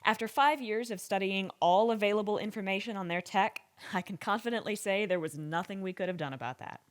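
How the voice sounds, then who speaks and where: A woman playing a wry, candid government scientist giving conversational interview testimony with deliberate pauses in an audio drama. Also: medium pitch, lightly acted. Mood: earnest, frank.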